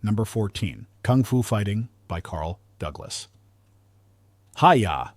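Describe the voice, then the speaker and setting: Low-pitched man narrating a countdown, dry sound. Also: a little mellow, dry recording. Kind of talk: scripted music countdown narration.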